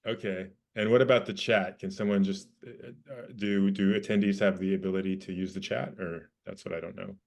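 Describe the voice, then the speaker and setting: A man's voice: low-pitched, steady tone, fairly quick, vocal fry, hardly any pauses. A man co-hosting a webinar on a video call, hesitant and unsure about the technology. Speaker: co-host. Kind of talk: casual chat.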